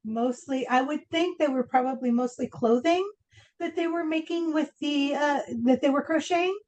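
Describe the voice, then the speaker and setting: Female interviewer from Argentina, Spanish as first language, high-pitched, informal conversational delivery. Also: moderate pace, a little mellow. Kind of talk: casual interview chat.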